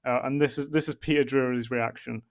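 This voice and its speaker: A man's voice: medium pitch, steady tone, a little fast. A man hosting a podcast in casual chat, ranting comically in a sweary, exasperated way. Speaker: podcast host.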